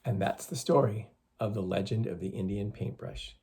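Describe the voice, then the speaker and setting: A man reading a story aloud to schoolchildren in an expressive, teacherly way, with emphatic phrasing. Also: fairly low pitch, normal speed, vocal fry, noisy background. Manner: teacherly, emphatic, addresses listeners with questions.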